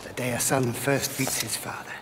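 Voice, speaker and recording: An older man acting a grave, proud Roman father and statesman in a game, speaking in a solemn, measured, fatherly way. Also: mid-range voice, steady tone, heavy background noise, lightly acted. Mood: solemn, tender. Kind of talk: dramatic game cutscene acting and storytelling. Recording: loud music bed. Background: music.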